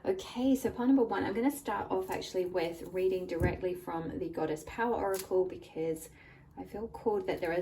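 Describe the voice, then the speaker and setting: Lady doing a tarot reading, bit croaky, soft mellow voice, slightly far from the mic. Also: fairly low pitch, a little hiss or hum. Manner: gentle, warm address to viewers. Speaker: tarot reader and channel host. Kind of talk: pick-a-card tarot reading. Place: indoors at a table, hands-only camera.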